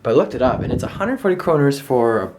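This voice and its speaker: A man's voice: mid-range voice, big pitch swings, quick pace, a little mellow. A young man from Canada talks to his handheld camera in an easy, middle-range voice. He rambles and corrects himself as he thinks aloud. A faint hum of outdoor and room ambience sits behind him. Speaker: travel vlogger. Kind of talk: casual travel vlog. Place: outdoors in a park and indoors at an apartment.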